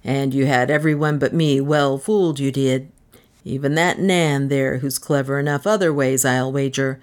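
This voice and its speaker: Woman doing a low, gruff, smug policeman. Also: fairly even intonation, unhurried. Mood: smug, gloating. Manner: folksy, colloquial, gloating. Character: big, gruff, suspicious, self-satisfied policeman.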